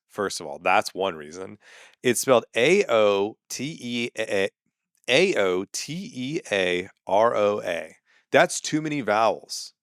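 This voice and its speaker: A man's voice: lowish voice, fairly bright tone, no echo, clean studio sound. A man, a comedian and podcast host ranting sarcastically and with exasperation in a casual monologue.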